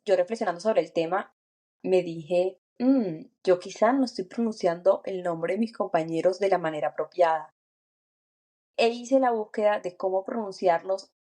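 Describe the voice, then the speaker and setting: A woman's voice: mid-range voice, darkish tone. A woman co-hosting a podcast, speaking in an earnest, encouraging way and thinking aloud with small restarts. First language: Spanish. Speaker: podcast co-host. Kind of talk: reflective podcast chat. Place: lives and works in France.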